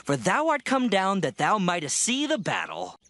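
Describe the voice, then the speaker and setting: Angry eldest brother male actor for scripture drama: mocking, raised pitch, cutting tone, dry recording. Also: wildly swooping pitch, moderate pace, sharp tone, no room sound, full character voice. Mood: angry scorn. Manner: sneering, mocking. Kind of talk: dramatized audiobook scripture reading.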